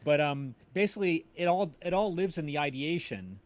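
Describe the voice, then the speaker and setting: A man talking casually as a podcast creator on a remote panel, searching for his words in a halting way. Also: on the high side, animated. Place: remote video call.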